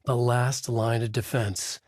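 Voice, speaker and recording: A man playing a brooding, haunted writer in a video game, narrating a dark noir thriller in grave, clipped, dramatic phrases with a husky voice. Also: mid-range voice, level tone, slow, no room sound, studio quality. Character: brooding, haunted male writer trapped in a nightmare.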